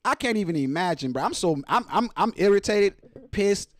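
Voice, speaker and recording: Animated high-pitched man ranting casually. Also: a little fast, no echo, clean studio sound. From Nigeria. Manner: slangy, profane, exasperated. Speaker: host of a live reaction show. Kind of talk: casual ranting reaction commentary.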